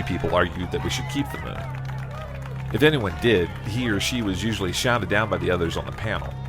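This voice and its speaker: A man playing a humble, plain-spoken farmer from Iowa in an audio drama, a little hesitant and folksy. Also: low-pitched. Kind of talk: audio drama acting and first-person narration.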